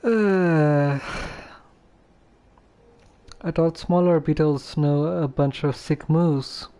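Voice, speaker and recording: A calm young man in a game, slightly high-voiced but soft and mellow. He talks slowly, with dry, deadpan doubt. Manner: unhurried, dry, hesitant. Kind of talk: video game character dialogue.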